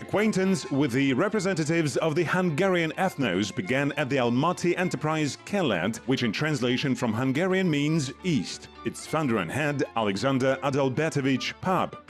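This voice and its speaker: Male documentary VO, measured read, animated pitch, faint music bed. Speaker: English voice-over narrator. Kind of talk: scripted documentary voice-over. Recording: music playing under the voice.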